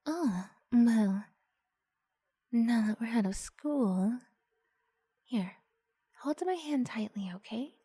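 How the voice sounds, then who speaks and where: Woman playing a breathy, coaxing young vampire girl. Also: a little high, animated, no room sound, full character voice. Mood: awkward, coaxing. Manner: hushed, halting, often slipping into breathy whisper. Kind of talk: ASMR roleplay, improvised.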